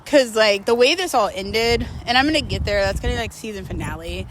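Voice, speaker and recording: A woman's voice: medium pitch, animated, fairly quick, noisy background. A woman talking casually and confessionally to her viewers, in a candid, rambling, emphatic way with slangy wording. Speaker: host of a personal vlog series.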